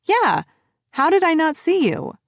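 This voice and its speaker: A woman's voice: extremely high pitch, sing-song, unhurried, no room sound, studio quality, voice pushed up, exaggerated voice. A woman voicing a flustered, skeptical woman of thirty, raising her voice with surprised questions. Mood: incredulous. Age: 30s.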